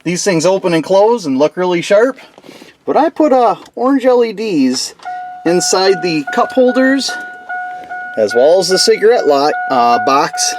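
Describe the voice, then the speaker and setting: A man's voice: sits high, huge pitch swings, unhurried, soft background music. A man hosting a DIY build video, talking informally and folksily through a casual hands-on demonstration. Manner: informal, folksy, mild slang. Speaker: host of a DIY truck build channel.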